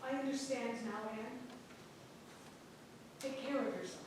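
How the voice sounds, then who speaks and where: High-voiced actress as a gentle, wry townswoman, echoey theatre. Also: measured pace, lightly acted. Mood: reassuring. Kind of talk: staged reading of a historical play. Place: theatre stage, recorded from the audience. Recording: echoey hall, distant mic, audience noise.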